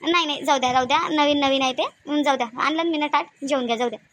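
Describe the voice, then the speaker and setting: A woman's voice: high-pitched, racing, a bit bright. A woman voicing village women of a family in a homemade cartoon, talking colloquially and expressively with a Vidarbha Marathi accent. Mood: caring, chatty. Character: women of a village family: shy bride, chatty sisters-in-law, scolding aunt.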